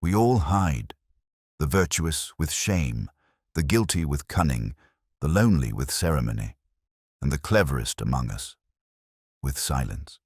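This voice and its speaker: Very deep, husky detective played by an actor. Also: male voice, big pitch swings, no echo, clean studio sound, exaggerated voice. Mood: wistful, ruminative. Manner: measured, brooding, ruminative. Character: ageing, reflective, melancholy English police detective. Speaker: narrator. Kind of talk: literary first-person detective monologue.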